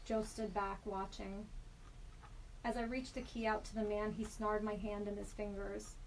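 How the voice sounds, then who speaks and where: A woman in a studio reading a personal story aloud in a measured, deliberate way. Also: medium pitch, flat delivery. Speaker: storyteller guest. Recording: slightly distant mic with faint background hiss.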